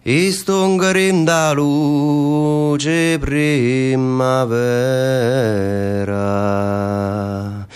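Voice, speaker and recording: Proud, defiant male prisoner, southern Italian accent, very clear resonant tone, drawn-out sung verse. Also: medium pitch, steady tone, faint music behind. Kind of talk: stage radio drama, sung dialect verse.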